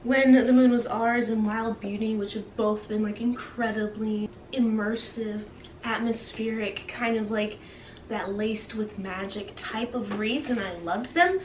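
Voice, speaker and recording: A woman's voice: on the high side, fairly even intonation, measured pace. A young woman chatting casually to camera as a book vlogger, playfully dramatic and self-deprecating. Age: young adult. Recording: roomy, distant mic with faint hiss.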